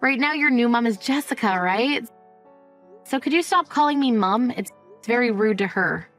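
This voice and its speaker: A woman's voice: on the high side, animated, normal speed, soft background music, natural voice. A woman playing a cold, sarcastic mother who tells her story in a smug, dismissive way. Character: cold, sarcastic middle-aged mother, smugly unsympathetic. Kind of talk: dramatized first-person story narration.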